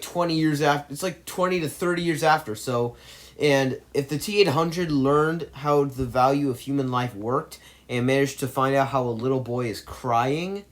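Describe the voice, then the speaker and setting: A man delivers an opinionated movie commentary in an ordinary mid-range voice. He argues emphatically and rambles, often backing up to restate his points. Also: medium pitch.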